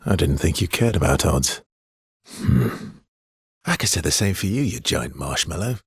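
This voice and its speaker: A weary video game swordsman with a low, gravelly voice, heavily husky and worn. He talks in an understated, guarded way, with hesitations and a dry, teasing fondness underneath. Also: animated, normal speed, dry recording, heavily acted. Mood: quiet, wry. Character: world-weary adult male expedition swordsman, guarded but wryly affectionate. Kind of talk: video game character dialogue, conversational.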